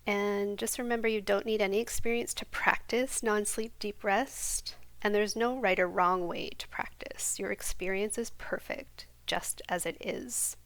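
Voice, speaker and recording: Soft-spoken woman meditation guide. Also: mid-range voice, fairly even intonation, dry recording. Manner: slow, soft and soothing, sometimes half-whispered. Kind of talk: guided yoga nidra meditation.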